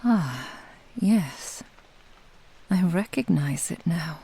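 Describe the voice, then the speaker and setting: Seductive, menacing fox-spirit witch, played by a woman, for whispered ASMR: dark, gravelly, husky, slow purring threat. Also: lowish voice, lively intonation, heavily acted, low-quality recording. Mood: intrigued, condescending.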